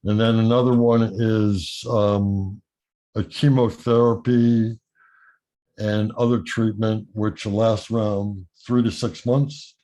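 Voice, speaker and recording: A man's voice: lowish voice, level tone, slow pace, mellow, low-quality recording. A man talking frankly in a casual video call, his voice changed by illness. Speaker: meeting participant.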